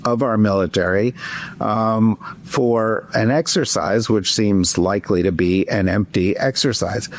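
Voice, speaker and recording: A man, a columnist guest in an interview, giving emphatic, wry political commentary with frequent fillers and stumbles. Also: fairly low pitch.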